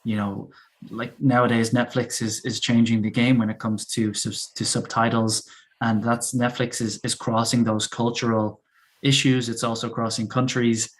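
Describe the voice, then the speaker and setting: A man's voice: medium pitch, level tone, normal speed. A man who teaches English, chatting casually and enthusiastically, often repeating words as he works out his thoughts.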